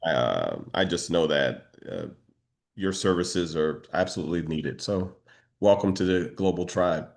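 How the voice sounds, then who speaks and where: Deep-voiced man hosting a business meetup. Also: animated, measured pace, creaky. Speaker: meetup host and moderator. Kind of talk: casual business networking talk over video call. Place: online video meeting.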